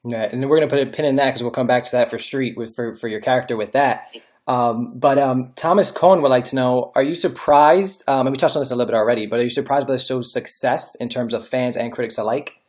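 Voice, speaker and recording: A man's voice: medium pitch, fast talker, darkish tone. Man interviewing, reading fan questions. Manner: reads out fan questions, brief agreeing replies.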